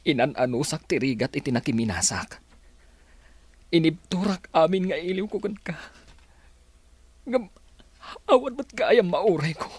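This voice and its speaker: A man's voice: fairly high pitch, expressive, measured pace, long pauses, a little mellow, breathy edge, dry recording. An actor with a northern Philippine accent playing a heartbroken, reproachful young man in an emotional, pleading radio melodrama style. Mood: anguished, pleading.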